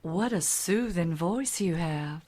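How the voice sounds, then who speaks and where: A woman's voice: on the low side, lively intonation, takes it slowly, dark tone. Woman playing a coy, entitled society lady. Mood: flirtatious. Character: genteel, entitled, flirtatious Southern future First Lady. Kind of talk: comic audio drama acting.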